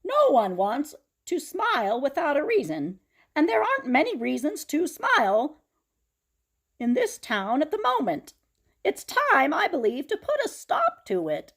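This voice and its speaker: A woman's voice: sits high, huge pitch swings, unhurried, a bit bright, voice pushed up, heavily acted. A woman voicing an impassioned pastor giving an emphatic speech to a town meeting in a children's storybook. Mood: impassioned, earnest. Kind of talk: children's storybook read-aloud.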